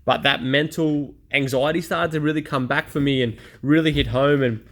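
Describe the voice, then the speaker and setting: A man's voice: medium pitch, steady tone, normal speed, fairly bright tone. Blunt, chatty man in his thirties. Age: 30s. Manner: blunt, chatty, self-deprecating. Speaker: vlogger. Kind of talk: candid personal vlog monologue.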